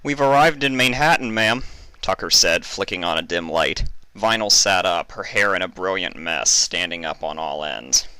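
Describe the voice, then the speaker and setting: A man narrates fan fiction from a script. His voice is deep yet very bright and forceful, recorded dry and close. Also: moderate pace, smooth, no room sound.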